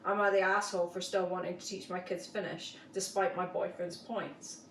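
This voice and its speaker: A woman's voice: lowish voice, little pitch movement, normal speed, fairly bright tone, echoey room, recorded from a distance, a little hiss or hum, poor audio. A woman co-hosting a casual show, reading online posts aloud and chatting about them. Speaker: podcast co-host reading posts.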